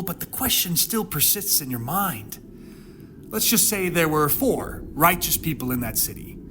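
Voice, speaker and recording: A man delivering a dramatic Bible teaching monologue, emphatic, with rhetorical questions and pauses for effect, over noticeable background noise. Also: fairly high pitch. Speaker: host of a Bible teaching series.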